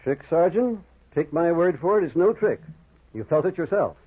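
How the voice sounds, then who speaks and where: On a thin, muffled vintage radio recording, an educated doctor in a horror play. His voice is a little high and rough-edged. He speaks with grave, formal precision. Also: animated, moderate pace. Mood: earnest insistence. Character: earnest, educated male doctor recounting a horror. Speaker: actor and first-person narrator. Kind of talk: old-time radio horror drama acting.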